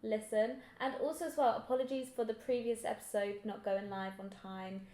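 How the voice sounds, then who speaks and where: Upbeat young woman, podcast co-host, gushing chat with a slightly high voice in an echoey room. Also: steady tone, normal speed, mellow. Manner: chatty, gushing, bursts into laughter. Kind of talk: casual chat.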